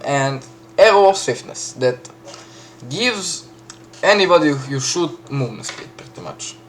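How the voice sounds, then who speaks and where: A man with a Serbian accent casually walking through a game map he built, chatty, full of fillers and quick self-corrections. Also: medium pitch, slight background noise. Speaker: map creator giving a tour. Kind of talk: casual gameplay walkthrough.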